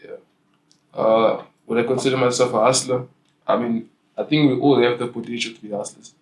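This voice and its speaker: A young man from Africa, a guest in a casual interview, answering in a relaxed way. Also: medium pitch, moderate pace. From South Africa. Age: young adult. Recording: echoey room.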